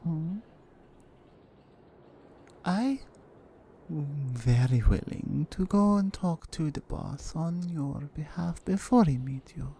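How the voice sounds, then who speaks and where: A menacing Russian crime-family woman, played by a female performer speaks in a mellow, gravelly voice with a Russian accent. She is unhurried, controlled and seductive, as in a close ASMR roleplay. Faint background noise sits behind her. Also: low voice, lively intonation, full character voice. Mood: seductive, coaxing. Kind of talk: slow, intimate ASMR roleplay acting.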